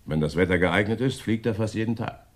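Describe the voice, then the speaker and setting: A low male voice , an actor's, as a local police inspector in a radio detective play. He is obliging and matter-of-fact, with a clipped, businesslike delivery. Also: a little fast, natural voice. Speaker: actor in a radio crime drama. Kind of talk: German radio play acting.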